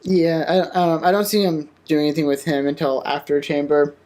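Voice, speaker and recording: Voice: mid-range voice, level tone, moderate pace, darkish tone. A co-host chatting casually on a panel about wrestling predictions.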